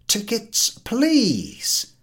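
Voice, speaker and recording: A man's voice: extremely high pitch, wildly swooping pitch, drawn-out words, fairly bright tone, voice pushed up, exaggerated voice. A man voicing an officious, over-zealous head ticket collector in a story reading, pompous and insistent, with a stutter. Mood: impatient, insistent.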